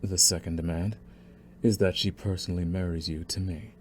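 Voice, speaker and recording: Male actor as young crime lord, low-pitched voice, smug, condescending, possessive menace, ASMR audio roleplay, noticeable background noise. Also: fairly even intonation, moderate pace, a little mellow, lightly acted. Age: young adult. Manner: taunting, condescending, theatrical.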